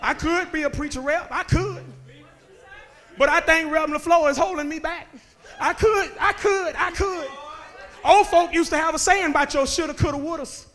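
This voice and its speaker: A man, preaching a sermon that moves between joking talk and shouted calls to the men. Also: very high-pitched, moderate pace. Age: 30s. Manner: conversational preaching that builds to shouting, with laughs and rhetorical questions. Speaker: guest preacher. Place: church sanctuary.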